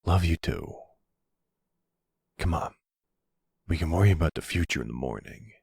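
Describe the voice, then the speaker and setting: An actor playing a tender, devoted older mafia boss boyfriend in an intimate ASMR roleplay, speaking softly and gently with a husky voice. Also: male voice, very deep voice, expressive, no room sound, studio quality, exaggerated voice. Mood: affectionate, relaxed. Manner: gentle, slow, affectionate.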